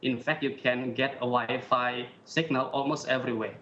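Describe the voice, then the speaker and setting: A man's voice: mid-range voice, fairly even intonation, moderate pace, bright, forceful tone, dull audio. A man from Indonesia with a strong Indonesian accent, answering interview questions hesitantly with many fillers. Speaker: guest.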